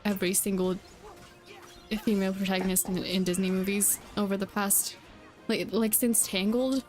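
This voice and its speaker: A woman streamer talks casually while playing a game, with music behind her. Her voice sits at a middle pitch. She rambles a little, hesitating and correcting herself as she thinks. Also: fairly even intonation, normal speed. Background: background music.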